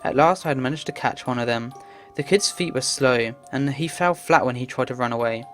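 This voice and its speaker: A woman's voice: very deep voice, a little fast. A woman narrating a visual novel as a read-aloud story, voicing the dialogue herself. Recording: music behind the voice. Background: music.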